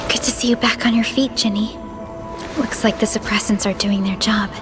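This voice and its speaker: A woman playing a composed, caring young team supervisor in a video game, with a measured, polite, authoritative delivery. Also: medium pitch, steady tone, music in the background, close to an everyday voice. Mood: kind, caring. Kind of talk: video game cutscene acting.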